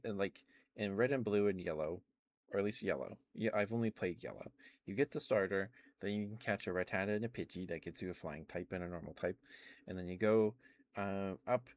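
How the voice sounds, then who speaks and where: Deep-voiced man rambling in close, dry ASMR. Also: dry recording. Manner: halting, rambling delivery with stammered restarts. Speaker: livestream host. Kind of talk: casual rambling ASMR game chat.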